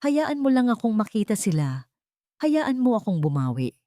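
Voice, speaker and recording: A woman's voice: fairly high pitch, big pitch swings, moderate pace, warm tone, no room sound, studio quality, pitched above the performer's own voice. A woman voicing a remorseful young man who pleads for forgiveness. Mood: pleading.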